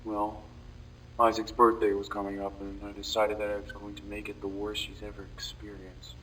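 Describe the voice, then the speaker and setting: Man acting a low, monotone, guilt-ridden young man. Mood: heavy, confessing. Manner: somber, halting, confessional with heavy pauses. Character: guilt-ridden, brooding young man confessing dark memories. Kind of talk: scripted audio-log monologue, horror fan drama. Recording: distant mic with faint background noise.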